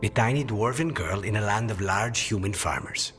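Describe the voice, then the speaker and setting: An actor playing a dry, measured contract killer with a soft spot for cooking in a video game. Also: male voice, lowish voice, natural voice. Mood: calm, frank. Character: dry, professional contract killer who loves cooking and coffee. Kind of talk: video game cutscene acting.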